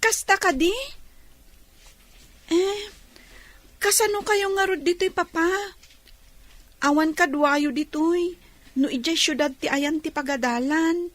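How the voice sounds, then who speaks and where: Earnest little schoolgirl, really high voice, takes her time, ilocano accent, radio drama. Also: takes it slowly, long pauses. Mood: questioning. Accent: Ilocano Filipino. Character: earnest blind schoolgirl daughter talking with her father.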